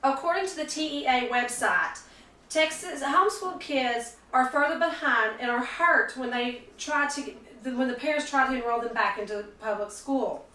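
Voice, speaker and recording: A woman giving a persuasive speech for a class, earnest and halting, often restarting her sentences. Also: sits high, pushed and bright. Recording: echoey room, mic far off.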